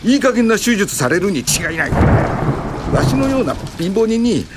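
A poor old man in a radio drama, wounded and distrustful. His high voice leaps dramatically up and down. A rainstorm is loud around him. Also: big pitch swings, measured pace, very noisy. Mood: bitter, distrustful. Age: 70s. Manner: agitated, plaintive, dramatic. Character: poor, bitter, distrustful old male patient, afraid of being hurt. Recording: loud rain and thunder storm effects.

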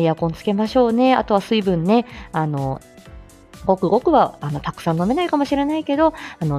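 A woman's voice: mid-range voice, darkish tone. A woman hosting a casual live stream, chatting with listeners in a polite but informal way and often chuckling as she talks. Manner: chatty, polite announcements mixed with casual asides. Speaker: live audio stream host and speech-language therapist. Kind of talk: casual morning live chat, answering listener comments. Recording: faint music behind the voice. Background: faint background music.

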